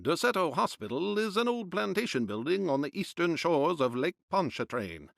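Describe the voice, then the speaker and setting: An actor playing a formal period newsreader, reading newspaper reports in a declamatory narrating style. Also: male voice, on the high side, big pitch swings, moderate pace, vocal fry, no room sound, clean studio sound. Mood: formal, measured. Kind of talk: scripted news narration.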